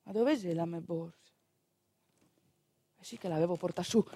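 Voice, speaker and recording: A woman's voice: on the low side, normal speed, dark tone, breathy edge. Casting: female stage storyteller, theatrical monologue delivery, roomy echoing recording.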